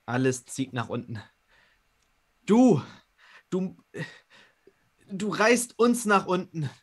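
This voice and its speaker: A man acts in character during a tabletop game. He speaks slowly and deliberately. Repeated words give his delivery dramatic weight. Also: high voice, sing-song, breathy edge. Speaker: roleplay player. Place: room at home.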